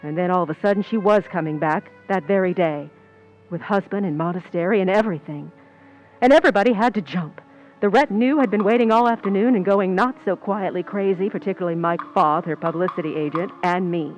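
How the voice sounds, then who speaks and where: A woman's voice: lowish voice, a little fast, lo-fi sound, faint music behind, close to an everyday voice. Woman playing a wry, cynical Hollywood stand-in. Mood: sardonic. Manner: wry, knowing, sardonic. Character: wry, cynical female movie stand-in telling her story. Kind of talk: first-person narration in old-time radio suspense.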